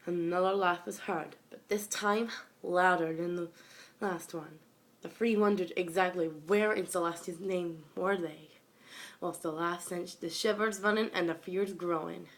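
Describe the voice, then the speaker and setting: A woman's voice: lowish voice, steady tone, measured pace. A young woman giving an amateur reading of a fan fiction story, narrating into a phone. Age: young, school age.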